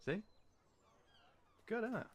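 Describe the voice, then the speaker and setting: A man's voice: takes it slowly, slight background noise, close to an everyday voice. A British man playing a young cat townsman in an audio roleplay, informal and hesitant, guarded but friendly as he guides the listener. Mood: relaxed, friendly. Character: wary, helpful young male cat townsman guiding a human.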